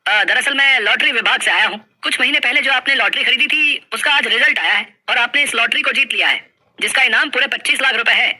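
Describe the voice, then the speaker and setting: A man's voice: extremely high pitch, a little fast, pushed and bright, exaggerated voice, poor audio. A man playing a cheerful, polite lottery official with hesitant openings in a dramatized folk story. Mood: excited, polite.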